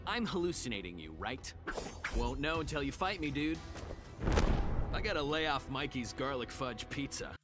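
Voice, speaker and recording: Loud game music surrounds a young male ninja turtle hero, played by a man. He is cool-headed and casual, speaking at a middle pitch in fight-intro dialogue. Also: expressive, normal speed, close to an everyday voice. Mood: wry.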